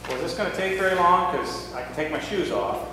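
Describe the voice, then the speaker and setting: A man's voice: fairly high pitch, lively intonation, brisk, noisy background, close to an everyday voice. A man playing a careless, defensive husband in a church skit, talking in an offhand, grumbling way. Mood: wary. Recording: echoey hall from far off.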